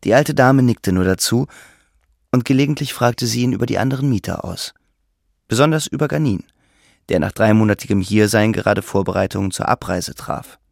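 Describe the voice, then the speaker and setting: A man's voice: on the low side, expressive, brisk, vocal fry, a little mellow, no room sound, clean studio sound. A man reading scripted literary narration for an audiobook.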